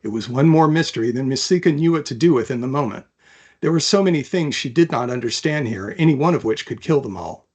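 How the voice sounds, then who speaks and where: A man, an author, talking about and reading from his own fantasy novel in a thoughtful, measured manner. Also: mid-range voice, animated, darkish tone, husky. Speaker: author, interview guest.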